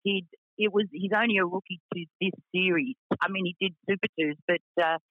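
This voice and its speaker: A woman with a deep voice who owns a racing team, talking frankly with lots of fillers in a radio interview. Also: a little fast, no echo, phone-quality sound. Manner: frank, chatty, many fillers.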